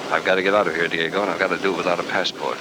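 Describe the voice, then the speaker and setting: An actor playing a hard-boiled American adventurer in 1940s radio style, clipped, tough and wry. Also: male voice, low-pitched, flat delivery, very fast, close to an everyday voice. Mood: cool, tough. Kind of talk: 1940s radio acting, scripted first-person narration. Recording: very noisy 1949 radio transcription.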